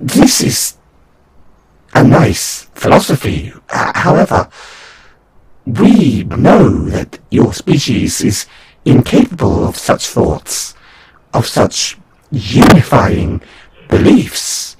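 A man voicing a condescending alien angel envoy in an audio roleplay, speaking in a halting, solemn, formal way with a breathy, husky voice. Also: mid-range voice, huge pitch swings, very noisy. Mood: grave, persuasive. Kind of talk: scripted audio roleplay monologue.

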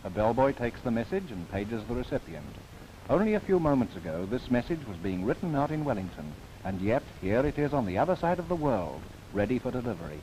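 A man delivers formal, scripted documentary narration in the style of the 1930s. His mid-pitched voice rises and falls in broad, animated sweeps while staying measured and earnest. It sounds like an old film soundtrack, with a little background noise. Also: low-quality recording.

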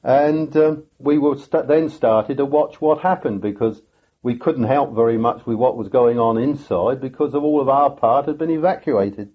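An older man, a former sailor, recalls a naval battle in a halting interview, often restarting his words. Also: mid-range voice, husky, highs cut off. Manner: halting, many restarts and pauses. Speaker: veteran sailor recounting a battle. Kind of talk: reflective interview recollection.

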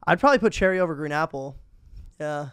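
A young man from the Midwest hosting a podcast in casual chat, relaxed and slangy, laughing often, on a boom-arm studio mic in a small room. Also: quick pace, smooth. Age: young adult. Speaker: podcast host.

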